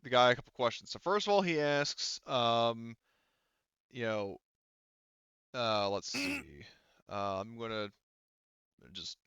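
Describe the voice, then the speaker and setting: A man casually running an improvised tabletop game as game master, halting and rambling as he thinks aloud. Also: medium pitch, big pitch swings, a bit bright, clean tone, no echo, highs cut off.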